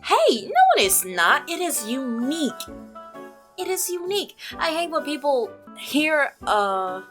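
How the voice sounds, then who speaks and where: Bright, animated woman gamer. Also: high voice, a little slow, faint music behind. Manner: expressive, reactive, gasps and giggles. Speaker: gaming channel host. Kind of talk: visual novel let's play with read-aloud dialogue and reactions.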